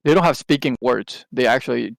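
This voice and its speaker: Gravelly man. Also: mid-range voice, brisk, no room sound, poor audio. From Taiwan. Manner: rambling, with many restarts and hesitant fillers. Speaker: podcast co-host. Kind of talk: casual chat.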